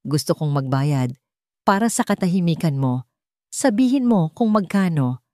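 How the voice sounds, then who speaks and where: A woman's voice: low-pitched, animated, normal speed, darkish tone, no echo. Lady narrator doing a wronged ceo guy, cold n calculating, audio drama, dry sound. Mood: feigned defeat.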